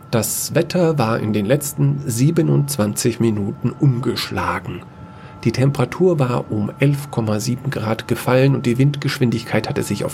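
A man's voice: mid-range voice, vocal fry, a little mellow, slight background noise. A man reading scripted narration for a science-fiction fairy tale audio story.

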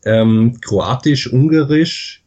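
A man's voice: medium pitch, dark tone, highs cut off. A man from western Austria with an Austrian accent, a podcast guest explaining at length in careful standard German with frequent hesitations. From Vorarlberg, western Austria. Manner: measured, explanatory, with many hesitations. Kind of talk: podcast interview.

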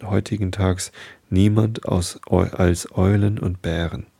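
A man's voice: deep voice, steady tone, creaky, warm tone, husky, exaggerated voice. A man voicing an uncanny old owl woken from sleep, hooting and drawing out his words. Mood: eerie. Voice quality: hooting, drawn-out. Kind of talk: bedtime story reading.

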